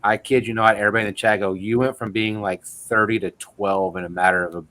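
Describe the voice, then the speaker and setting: A man's voice: lowish voice, fairly even intonation, fast, a bit bright. A man in his forties hosting a casual livestream chat, telling rambling, self-deprecating stories over a call mic. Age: 40s. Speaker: host.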